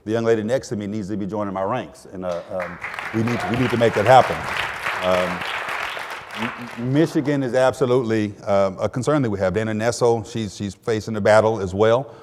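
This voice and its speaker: A man from Texas, speaking as a guest on a political panel, halting and repeating his words with many fillers. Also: lowish voice, long reverb tail, a crowd in the background, noisy background. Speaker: panel guest, attorney general. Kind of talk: panel discussion.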